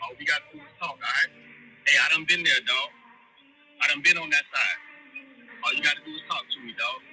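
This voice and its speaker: A man's voice: a little high, wildly swooping pitch, fairly quick, bright, forceful tone, low-quality recording. An American man pleading informally and insistently, coaxing someone to talk and repeating himself. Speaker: homeowner. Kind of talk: urgent informal pleading in a real-life clip. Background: music.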